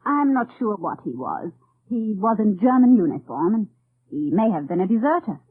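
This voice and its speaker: A woman's voice: medium pitch, big pitch swings, moderate pace, slightly rough, no room sound. Anxious young woman, tinny old radio. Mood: nervous. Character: anxious, devoted young woman aiding a spy. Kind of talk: old-time radio drama acting.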